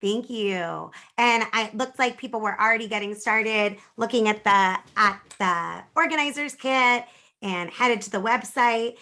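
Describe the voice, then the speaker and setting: Female webinar moderator, bright and slightly high voice, informal introductions on a video call. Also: measured pace.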